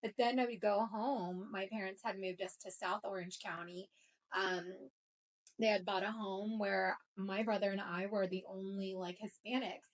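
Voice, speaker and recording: A woman's voice: medium pitch, steady tone, normal speed, rough recording. Chatty woman co-host telling stories. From Colombia. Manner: chatty, storytelling, frequent fillers. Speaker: co-host of a recruiting show. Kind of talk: casual conversational chat.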